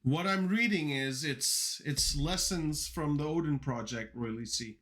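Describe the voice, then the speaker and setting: A man's voice: on the high side, unhurried, fairly bright tone. A young man who grew up speaking Dutch and Turkish, chatting casually on a livestream while he codes, rambling with lots of fillers and restarts. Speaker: coding livestreamer.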